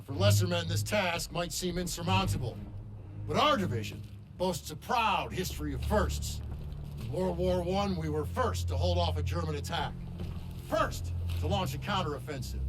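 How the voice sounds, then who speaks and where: An actor playing a stern, hard-driving army colonel in a cinematic war game, with a commanding, oratorical delivery. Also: male voice, sits high. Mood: rousing, resolute. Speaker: military commanding officer.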